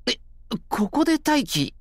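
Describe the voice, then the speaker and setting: Easily flustered, very expressive teenage boy. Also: high voice, slow pace, no room sound, clean studio sound, full character voice. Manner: casual boyish speech, stammering when embarrassed. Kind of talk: visual novel voice acting.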